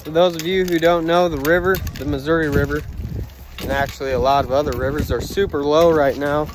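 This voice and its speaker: A man's voice: on the high side, steady tone, fairly quick, slight background noise, low-quality recording. An American man chatting casually to viewers in a folksy, plain-spoken way as he presents an outdoor fishing vlog. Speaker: fishing vlogger. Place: outdoors by a river.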